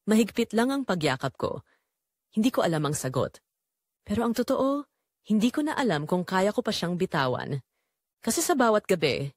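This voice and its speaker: Lively Filipino woman narrating a dramatic story. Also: on the low side, no room sound, studio quality. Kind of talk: dramatic scripted first-person story narration.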